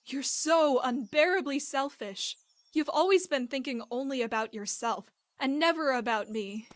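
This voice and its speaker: A high, closely recorded dry voice for a worn-down wife acted by a woman. She sounds hurt and reproachful, delivered as emotional video game drama. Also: measured pace, dry recording. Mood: angry, fed up. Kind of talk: dramatic game cutscene acting.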